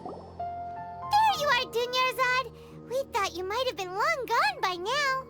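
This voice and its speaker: A tiny fairy sidekick from a video game with an extremely high, squeaky, childlike voice. She is chatty and dramatic and swings widely in pitch. Loud music plays behind her. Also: sing-song, takes it slowly, exaggerated voice. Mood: bright, urgent. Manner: exclamatory, speaks of herself in third person. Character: small excitable childlike female fairy companion, chatty and dramatic.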